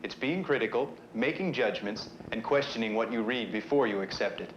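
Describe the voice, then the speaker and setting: An actor playing a chatty explainer, presenting an educational film in a conversational, scripted way. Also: male voice, lowish voice, a little fast, a bit bright, a little hiss or hum, close to an everyday voice. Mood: explaining. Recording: muffled 1970s film soundtrack with music.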